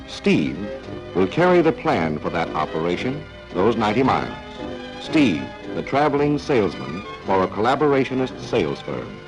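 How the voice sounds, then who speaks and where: Deep-swooping 1940s training film narrator, a man. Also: sing-song, poor audio. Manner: dramatic, clipped phrasing with deliberate pauses. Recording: 1940s film soundtrack with faint music.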